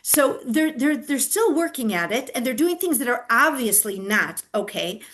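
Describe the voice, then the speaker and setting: A woman's voice: fairly high pitch, sing-song, normal speed, a bit bright. An older woman, a grandmother and activist, answering interview questions in an earnest, explanatory way with frequent fillers and restarts. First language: not Hebrew. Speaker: activist guest.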